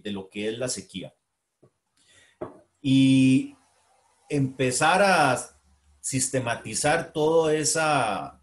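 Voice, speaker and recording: A middle-aged Costa Rican man giving a university lecture, with a Costa Rican accent, pausing often with fillers and restarting his phrases. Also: mid-range voice. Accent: Costa Rican Spanish. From Costa Rica. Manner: hesitant, many fillers and restarts. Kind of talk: academic lecture.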